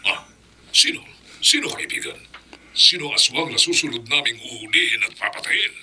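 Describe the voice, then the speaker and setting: An actor with a Filipino accent playing a fierce monster-hunting village captain in a horror radio drama. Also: male voice, mid-range voice, animated, moderate pace, background noise, lightly acted. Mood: conspiratorial, grateful. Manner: forceful, commanding, dramatic.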